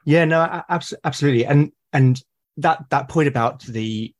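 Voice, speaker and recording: A man's voice: on the high side, moderate pace. A man from Britain, a podcast guest explaining his ideas with enthusiasm and emphasis. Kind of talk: conversational interview.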